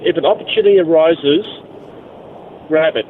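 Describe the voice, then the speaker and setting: A man phoning a radio show to give casual advice. His voice is on the high side, and the call sounds muffled with clear vehicle noise. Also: normal speed. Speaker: radio phone-in caller. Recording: dull, noisy phone call, vehicle sounds.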